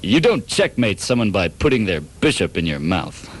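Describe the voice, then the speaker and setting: A man's voice: lowish voice, normal speed, breathy edge. Man acting a pompous radio detective with wild swings. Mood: deadpan, smug. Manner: melodramatic, deadpan narration breaking into ranting. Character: pompous, dim-witted, short-tempered male hardboiled private detective. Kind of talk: comedic detective radio drama.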